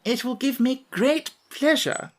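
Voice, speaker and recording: A woman's voice: sits high, animated, unhurried, voice pushed up. A woman voicing a pompous, overly polite male insect who fancies himself a scholar, speaking in elaborate phrases.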